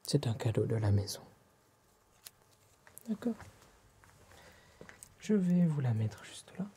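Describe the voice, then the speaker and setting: A man with a French accent whispers softly and breathily in an ASMR roleplay, addressing the listener politely and formally as an attentive tailor, close to the microphone. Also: mid-range voice, big pitch swings, slow pace. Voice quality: soft, breathy whispering and hushed speech.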